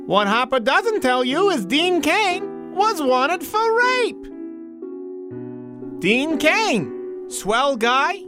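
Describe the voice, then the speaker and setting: Woman doing an attack ad voiceover, slow and swoopy, piano playing underneath. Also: high voice. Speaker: political ad voice-over. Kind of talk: attack ad narration. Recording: piano music behind the voice. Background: piano music.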